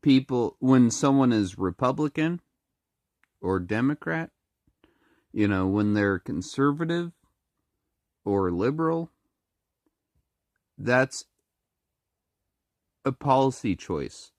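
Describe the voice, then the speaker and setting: A speaker with a deep voice who has been a little sick, talking casually to the camera in a halting way with many fillers and restarts. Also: male voice, lively intonation, darkish tone, dry recording. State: a little sick, throat-clearing. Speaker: vlogger.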